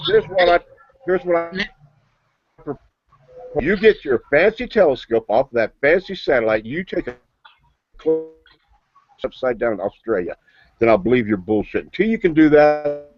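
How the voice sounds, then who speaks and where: A man's voice: fairly high pitch, sing-song, a little slow, long pauses, muffled recording. A man in a heated online voice chat, blustering, cursing and repeating himself. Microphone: computer speakers, no headset.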